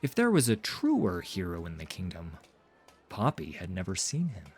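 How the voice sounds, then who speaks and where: A man's voice: low-pitched, wildly swooping pitch, moderate pace, darkish tone, slight background noise. A man narrating a fantasy story in a dramatic, scripted audiobook style.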